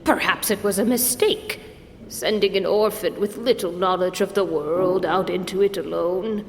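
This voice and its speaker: An actor playing a stern, disapproving older priest, formal and reproving, in a fantasy audio drama. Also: male voice, high voice, steady tone, moderate pace. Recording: echoing hall, faint noise.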